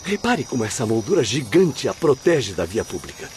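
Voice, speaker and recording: An actor playing a calm, courteous and learned male spirit guide in a radio drama, formal and explanatory. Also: mid-range voice, moderate pace, a little hiss or hum, lightly acted. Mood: calm explaining.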